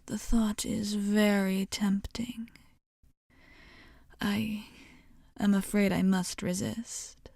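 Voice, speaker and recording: A woman playing a courteous, seductive female vampire bartender in a soft ASMR roleplay, speaking formally and gently, often in a breathy whisper, close to the microphone. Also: medium pitch, no echo. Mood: restrained, tempted. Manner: slow, measured, formal, gently coaxing.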